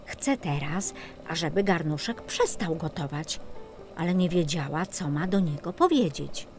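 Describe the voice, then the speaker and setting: A woman narrating a scripted children's fairy tale in an expressive, measured storytelling manner. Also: deep voice, soft background music. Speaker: audiobook narrator.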